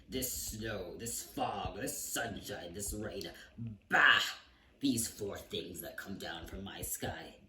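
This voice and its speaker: A man voicing a grumpy old king who growls and shouts commands, read aloud from a storybook in a roomy space. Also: sits low, slow, exaggerated voice. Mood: bellowing. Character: grumpy old male king, bellowing tyrant. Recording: roomy echo, distant mic, faint hiss.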